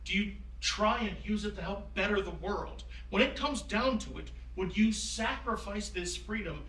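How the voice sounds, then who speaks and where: Man acting as genial wise founding father, courtly measured phrasing, high bright voice, staged reading, distant roomy recording. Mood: earnest, probing. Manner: courtly, old-fashioned phrasing, measured and avuncular. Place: room at a live staged reading.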